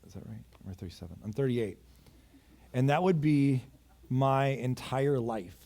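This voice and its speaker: A pastor in his thirties delivers a sermon in a mellow, dark-toned voice. He tells the story with urgency, then drops low and close, and slips in a wry joke about himself now and then. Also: measured pace, gravelly. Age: 30s. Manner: storytelling preacher, swings between raised urgency and quiet intimacy; self-deprecating asides. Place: church.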